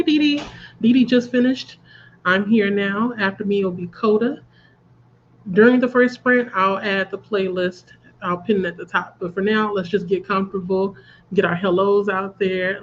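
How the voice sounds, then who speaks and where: A woman's voice: medium pitch, dull audio, slight background noise. A congested woman chatting casually as host of a reading livestream and laughing at her own jokes. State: congested from a cold. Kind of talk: casual chat. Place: at home.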